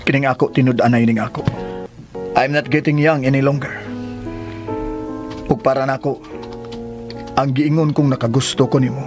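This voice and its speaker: An actor as an earnest Filipino suitor over music. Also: male voice, mid-range voice, fairly even intonation, normal speed, long pauses, close to an everyday voice. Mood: solemn, devoted. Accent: Filipino, Cebuano. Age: middle-aged. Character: earnest, strict-looking middle-aged suitor proposing marriage. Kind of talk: Philippine radio drama acting. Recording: music bed behind radio drama.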